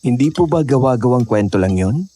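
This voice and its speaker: A man's voice: medium pitch, lively intonation, fairly quick, mellow, natural voice. A man playing a polite, mildly skeptical young climber in horror audio drama dialogue. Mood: polite, curious.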